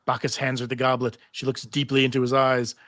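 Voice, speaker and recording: A man's voice: medium pitch, monotone, fairly quick, a bit bright, no echo. A man narrating stage directions in a live table read of a TV script.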